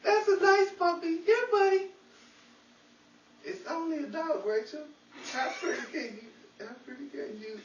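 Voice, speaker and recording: A man playing a hapless, excitable character in a 1940s-style radio comedy, rambling and self-justifying. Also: very high-pitched, moderate pace, distant mic. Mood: nervous coaxing. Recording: dull, roomy, off-mic with faint noise.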